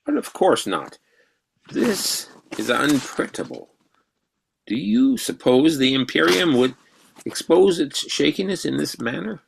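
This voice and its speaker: An actor playing an elderly, intense mathematician who quizzes his pupil in a deliberate professorial way in a radio drama. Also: a little high, big pitch swings, creaky, husky, exaggerated voice. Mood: calm assurance. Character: elderly, intense mathematician mentor, excited and probing.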